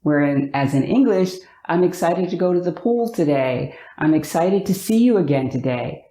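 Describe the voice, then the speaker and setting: An upbeat, expressive American woman from Virginia, talking to the camera in a vlog and drawing out words for emphasis. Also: fairly low pitch, moderate pace. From Virginia, USA. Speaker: vlogger. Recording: echoey room.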